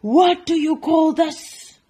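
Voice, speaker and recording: A woman's voice: medium pitch, sing-song, measured pace, dark tone, husky, full character voice. A woman voicing an imperious, hot-tempered male duke from a storybook, speaking in a commanding, emphatic manner. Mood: outraged. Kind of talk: storybook character voice.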